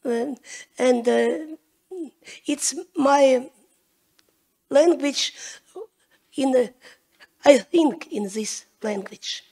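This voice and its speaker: Woman, high voice, super slow, pausing between words. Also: vocal fry, mellow. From Latvia. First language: Latgalian. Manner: slow, halting, with pauses. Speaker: poet and screenwriter on a panel. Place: large hall with audience.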